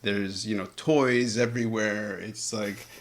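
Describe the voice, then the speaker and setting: A man's voice: on the low side, steady tone, unhurried. A man hosting an interview in a guest's home, talking casually with playful teasing and frequent laughter. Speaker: podcast host.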